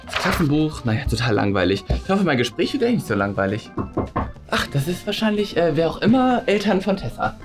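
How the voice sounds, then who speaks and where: Man acting bored, fast-talking male art teacher. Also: fairly high pitch, big pitch swings, over music. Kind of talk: scripted comedy sketch acting.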